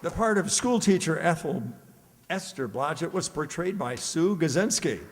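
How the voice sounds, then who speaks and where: A man's voice: a little high, expressive, moderate pace. A man announcing the cast credits of a radio play to a live audience. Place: reverberant hall before a live audience. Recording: noisy live hall with audience.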